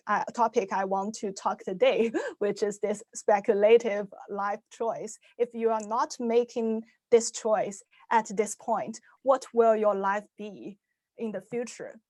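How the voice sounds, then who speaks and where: Slow, hesitant woman, Chinese native speaker. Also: a little high, darkish tone, no echo. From Shanghai, China. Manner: hesitant, many "uh" and "um" fillers, restarts. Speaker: science fiction author giving a talk. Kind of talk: online talk.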